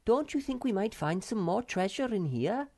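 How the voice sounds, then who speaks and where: A woman voicing a small, timid, sweet and excitable young female creature with a childlike, hesitant manner, for a storybook. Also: mid-range voice, normal speed, pitched above the performer's own voice. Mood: sweet, coaxing.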